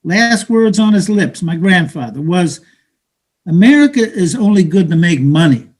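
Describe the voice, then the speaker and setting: A man in a play reading, playing a cynical, bitter, streetwise Brooklyn-born man who talks in halting slang. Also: high voice, very animated, a little mellow. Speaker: stage actor.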